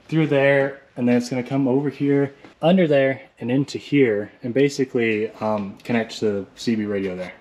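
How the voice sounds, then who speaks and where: A man's voice: medium pitch, darkish tone, a little hiss or hum. A man talking casually and informally through a hands-on how-to, rambling a little as he explains. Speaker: hobbyist presenter of a how-to video. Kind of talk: casual hands-on tutorial talk.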